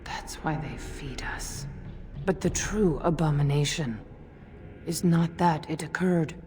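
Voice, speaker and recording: An actress voicing a haunted, tormented woman in a video game, chanting grimly in a breathy half-whisper. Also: low-pitched, warm tone. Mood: haunted, bitter. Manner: slow, chanting, incantatory. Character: haunted, tormented woman reciting grim horrors. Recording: faint music behind.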